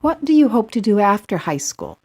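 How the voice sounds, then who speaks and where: An adult American woman who interviews young people with short scripted questions, asked in a measured, even way. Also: medium pitch, slightly rough.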